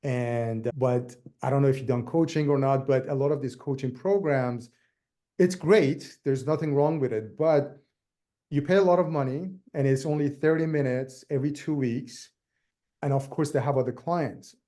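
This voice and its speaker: Mellow-voiced man with a Persian accent. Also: medium pitch, moderate pace. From Iran. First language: Farsi. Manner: loose, run-on sentences with restarts. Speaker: guest realtor. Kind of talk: casual interview chat.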